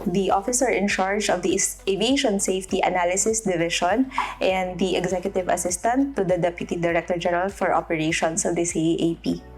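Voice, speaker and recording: A woman's voice: lowish voice, fast, a little mellow, soft background music. A Filipino woman lawyer and aviation official, chatty and a bit flustered, with frequent fillers. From Philippines.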